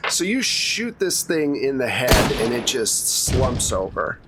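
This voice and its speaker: A man's voice: medium pitch, sing-song, unhurried, loud music behind the voice. Conversational man running a tabletop game. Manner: conversational, informal. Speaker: game master. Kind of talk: narrating a tabletop role-playing game.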